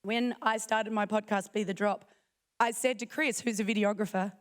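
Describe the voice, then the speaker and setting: A woman with a croaky, failing voice giving a chatty, self-joking conference talk. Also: medium pitch, fairly even intonation, moderate pace. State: croaky, losing her voice. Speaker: conference speaker. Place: conference hall.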